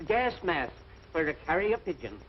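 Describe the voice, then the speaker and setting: A fussy, hesitant crackpot inventor in a 1940s comedy. His voice is fairly high. The vintage soundtrack is muffled with faint noise. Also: very animated, normal speed. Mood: anxious, eager. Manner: hesitant, fumbling. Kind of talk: 1940s comedy film acting.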